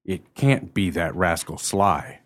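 Man playing a husky, animated gallant officer. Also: sits low, slow, full character voice. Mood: scornful. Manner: courtly, wry. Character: gallant, jesting military officer, courtly and scornful. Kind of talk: radio play acting.

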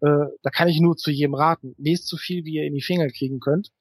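Higher-pitched man explaining things in an interview. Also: steady tone, quick pace, slightly rough, no room sound. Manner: thoughtful, explanatory, hesitant phrasing. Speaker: interviewed fantasy author. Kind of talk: casual interview answers.

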